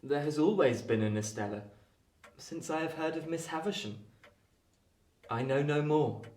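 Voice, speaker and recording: An actor playing a genial, well-bred young London gentleman, polite, tactful and chatty, in a radio play. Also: fairly high pitch, normal speed, recorded from a distance, natural voice. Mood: storytelling. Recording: echoey room, off the mic.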